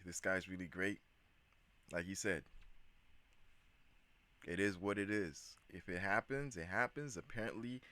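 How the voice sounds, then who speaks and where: A man's voice: fairly low pitch, sharp tone, dry recording. A man hosting his channel, casually reacting to a video in a hyped, slangy way and repeating himself.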